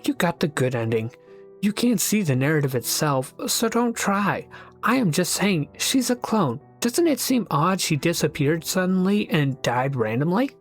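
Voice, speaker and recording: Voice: mid-range voice, big pitch swings, normal speed, soft background music, pitched above the performer's own voice. A performer voicing a prying, insistent onlooker in a role-play drama, full of pointed questions. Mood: nagging.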